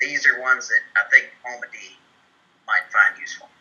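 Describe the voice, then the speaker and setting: A man playing a simple, earnest Southern fellow with a put-on accent, speaking in a folksy, deliberate deadpan for a comedy tutorial. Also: mid-range voice. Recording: muffled, telephone-like band-limited sound.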